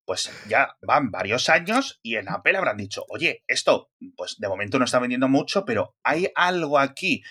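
Bright-voiced man chatting. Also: medium pitch, husky. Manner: animated, jokey asides, many fillers. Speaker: podcast host. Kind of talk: casual tech podcast chat.

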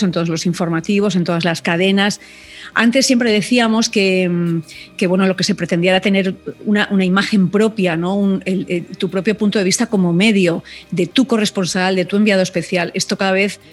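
Middle-aged spanish woman, news correspondent, chatting in an interview, thinks out loud a lot, restarts phrases, faint music behind. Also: medium pitch. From Spain. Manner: thoughtful, reflective, many restarts and fillers. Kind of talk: relaxed interview conversation.

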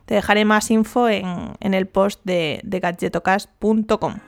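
A woman hosts a casual solo podcast. She is chatty and rambles, with jokey asides. Her voice sits at a middle pitch with a gravelly, creaky texture. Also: steady tone, moderate pace, music in the background. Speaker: podcast host.

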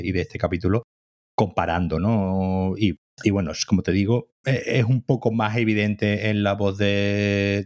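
Low-voiced man in casual review chat. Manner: hesitant, many fillers and restarts, agreeing often. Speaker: podcast co-host.

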